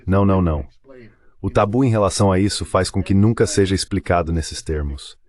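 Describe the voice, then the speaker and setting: A man's voice: deep voice, a little fast, darkish tone. A man with a Brazilian accent reading a translated interview as a voice-over.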